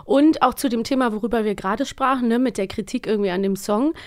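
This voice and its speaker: A woman's voice: on the high side, fast. A woman in her thirties co-hosting a podcast, chatting casually with enthusiasm and emphasis. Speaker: podcast host. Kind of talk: casual chat about radio plays.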